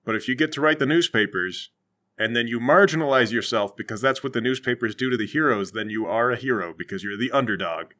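A man's voice: medium pitch, fast, bright, forceful tone. A man bantering casually and comically as a player in a tabletop game.

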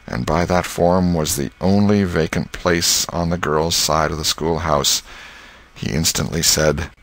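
Male audiobook narrator, low-pitched, measured, literary storytelling. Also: fairly bright tone.

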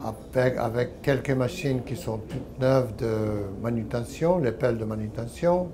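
A man in his sixties speaking non-native French with a foreign accent, giving a company update in an interview in a halting, word-searching way. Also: medium pitch. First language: not French. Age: 60s. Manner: halting, word-searching delivery with frequent hesitations. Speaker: company president and owner being interviewed. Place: small exhibition stand room at trade fair. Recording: clear background noise of trade show.

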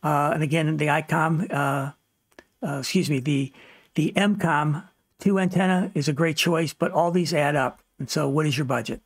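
A man's voice: a little high, moderate pace, dry recording, clean studio sound. A man narrating an informal instructional slide presentation as host, plain-spoken and practical, offering advice. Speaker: host of a ham radio tutorial series.

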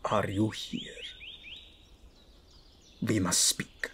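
A man acting a slow, menacing old king. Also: fairly low pitch, flat delivery, full character voice. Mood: commanding. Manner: imperious, slow, swinging from hissed to shouted. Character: menacing old male king, cruel and contemptuous. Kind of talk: dramatic fantasy audio drama acting.

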